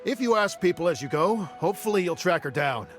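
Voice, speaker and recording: Game NPC: obliging, thoughtful labourer, slightly high voice, faint music. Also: male voice, animated, brisk. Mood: thoughtful, concerned. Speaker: video game character voice. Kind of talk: scripted game dialogue.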